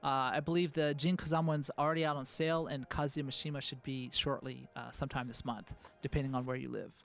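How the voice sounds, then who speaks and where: A man giving a live product presentation as a game producer, speaking in a relaxed way full of "uh"s. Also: quick pace, vocal fry. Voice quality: calls his own voice high. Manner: relaxed, filler-heavy speech with many "uh"s.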